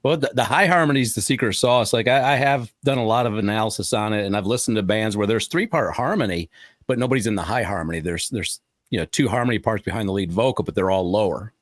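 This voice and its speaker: A man with a lower voice talks quickly and with energy as co-host. His sound is dry and close, and he gives strong opinions. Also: no echo. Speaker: radio show co-host, musician. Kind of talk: casual radio chat.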